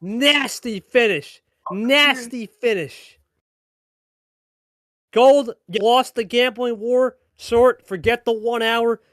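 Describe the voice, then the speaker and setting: A man's voice: extremely high pitch, slow pace, fairly bright tone, no echo. A man hosting and commentating on a card game match, hyping it up and repeating phrases for emphasis. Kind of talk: live play-by-play commentary and player interviews.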